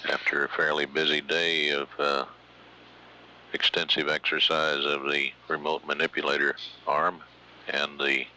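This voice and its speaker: A man giving formal mission control status announcements in measured, halting phrases. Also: rumbling low, little pitch movement, pushed and bright, a little hiss or hum, rough recording.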